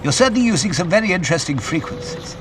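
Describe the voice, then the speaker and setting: An assured, eccentric scientist-adventurer, played by a man, with a fairly high, very animated voice. He phrases things formally and courteously, with calm authority, as in a classic sci-fi audio drama. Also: quick pace, a little hiss or hum.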